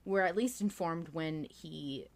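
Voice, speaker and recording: A woman's voice: sits low, slow. A woman hosting a casual podcast chat, emphatic and playfully argumentative, swearing freely. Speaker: podcast host. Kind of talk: casual fan discussion chat.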